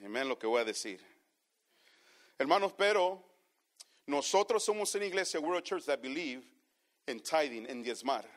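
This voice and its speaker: Man, pastor, high voice, bilingual sermon, repeats each phrase in a second language, calls listeners brothers. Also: lively intonation, normal speed, pauses often. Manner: preaches each phrase in Spanish then repeats it in English; keeps addressing the congregation as brothers.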